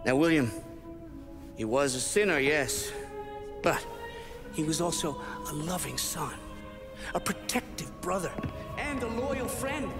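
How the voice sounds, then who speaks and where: An actor playing a solemn, righteous priest who speaks like an orator in a dramatic video game. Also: male voice, a little high, animated, measured pace, long pauses. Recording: long church reverb, music behind.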